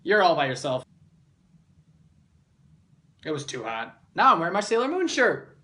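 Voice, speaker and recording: A man's voice: a little high, sing-song, moderate pace, sharp tone. A man giving a jokey comic book review with a sarcastic, theatrical, mocking delivery. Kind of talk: jokey scripted review commentary.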